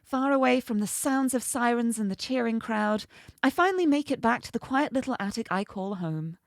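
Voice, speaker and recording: Brief: cheeky young woman thief, read by a streamer, confident and playful, animated. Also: fairly high pitch, normal speed, gravelly, dry recording, clean studio sound. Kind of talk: first-person visual novel narration.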